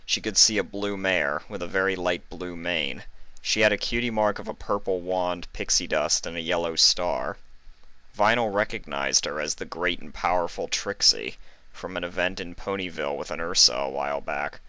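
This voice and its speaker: Male narrator for dramatic fiction, low voice with a forceful, bright edge. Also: normal speed, no echo, rough recording. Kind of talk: dramatic scripted story narration.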